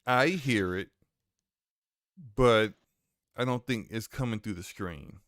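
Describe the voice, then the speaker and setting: A man with a deep voice chatting casually on a live game stream, flustered as he talks himself through tech trouble. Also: slow, fairly bright tone, dry recording, studio quality.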